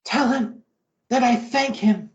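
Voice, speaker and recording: A man's voice: extremely high pitch, takes it slowly, roomy sound, lo-fi sound, pitched above the performer's own voice. A man voicing a proud young Viking warrior in a dramatic comic-book story reading. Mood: dying, grateful.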